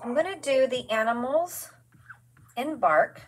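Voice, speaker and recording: A woman's voice: sits high, expressive, unhurried. A woman in her forties hosting a live craft demonstration from her studio, chatty and gushing, greeting viewers by name as she works.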